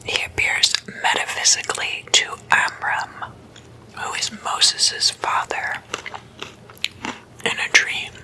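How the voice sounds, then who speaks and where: A woman hosting ASMR, whispering a scripted explainer in a breathy, deliberate way with measured pauses between phrases. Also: rough recording. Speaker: ASMR host.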